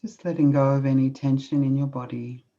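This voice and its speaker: A woman with an Australian accent, gently guiding listeners through a meditation in a slow, soothing way. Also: a bass voice, fairly even intonation. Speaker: guest speaker leading meditation. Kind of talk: guided meditation. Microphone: video call. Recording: dull lo-fi video call audio.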